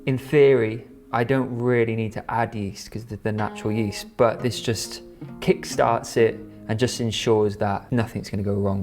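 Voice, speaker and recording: A man's voice: medium pitch, a little mellow. A Welsh man talks casually to camera, enthusiastic and a little rambling as he works. His voice sits in a middle register. Faint music plays underneath. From Wales. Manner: chatty, enthusiastic, talks while working. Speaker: vlogger and shop owner.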